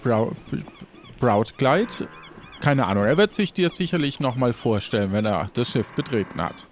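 A man's voice: medium pitch, animated, moderate pace, slight background noise. A man hosting and narrating an improvised audio adventure as game master, in a playful, dramatic way.

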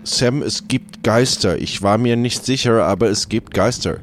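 A man's voice: medium pitch, measured pace, close to an everyday voice. A man playing a companion in a pirate crew, in an improvised audio adventure. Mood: uneasy, earnest. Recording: ambient noise behind voice.

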